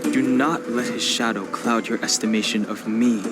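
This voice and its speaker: An actor playing a reserved young male exile who speaks quietly and firmly. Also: mid-range voice, moderate pace, natural voice. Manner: quiet, restrained, firm. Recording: game dialogue over loud music.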